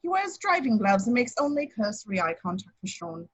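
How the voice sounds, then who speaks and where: A woman's voice: mid-range voice, sing-song, fairly quick, slightly rough, highs cut off. A woman reading stage directions as plain scripted narration at an informal table read. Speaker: action-description reader.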